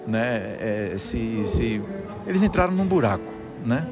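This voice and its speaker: A man's voice: fairly low pitch, big pitch swings, a little slow. A middle-aged man from Salvador, earnestly telling his personal story in an interview and often restarting his phrases. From Salvador, Brazil. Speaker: guest, musician and conductor. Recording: music playing behind the voice.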